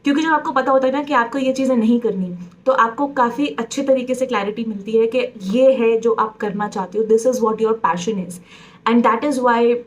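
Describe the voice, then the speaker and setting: A woman's voice: a little high, steady tone, racing. A woman in her twenties with an Indian English accent, chatting casually in a vlog about herself and mixing in Hindi phrases. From Punjab, India. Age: 20s. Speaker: presenter introducing herself.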